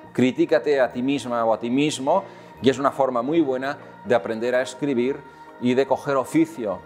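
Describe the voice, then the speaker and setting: A man in his fifties with a Catalan accent, a children's author calmly answering questions to camera, pausing often on "eh". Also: mid-range voice. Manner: calm, measured, with many "eh" fillers. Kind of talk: recorded talk to camera for children. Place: indoor room with tall wooden windows.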